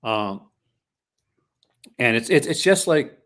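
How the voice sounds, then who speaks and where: A man's voice: medium pitch, lively intonation, slow, a bit bright. A Canadian man, a podcast host with a mixed Canadian accent partly from Newfoundland, chatting casually and rambling through restarted sentences. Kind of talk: casual chat.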